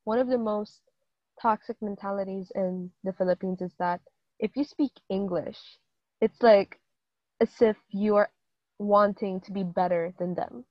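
A young woman from Hong Kong chatting casually with her sibling, animated and full of exclamations. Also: medium pitch, a little slow, no echo, dull audio. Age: late teens. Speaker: co-host, sister. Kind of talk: casual chat.

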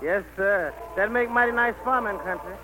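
Male actor as obedient angel, high-pitched voice, Southern accent, emphatic and deferential, 1940s radio play. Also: moderate pace, close to an everyday voice, low-quality recording. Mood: admiring, obedient. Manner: respectful, folksy, emphatic.

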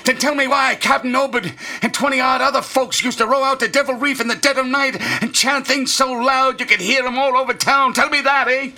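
Rambling old sailor, harshly bright. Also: male voice, very high-pitched, steady tone, a little fast, few pauses, full character voice. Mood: frenzied, accusing. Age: older. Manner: folksy, dropped grammar, dramatic whispers rising to shrieks. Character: rambling, half-crazed old drunken sailor, secretive and fearful. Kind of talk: radio drama monologue.

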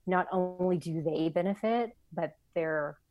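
A woman's voice: lowish voice, unhurried, no echo, lo-fi sound. A woman in her forties, a company founder, talking earnestly in an interview with many fillers. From Vietnam, raised in the United States. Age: 40s. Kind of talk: conversational interview.

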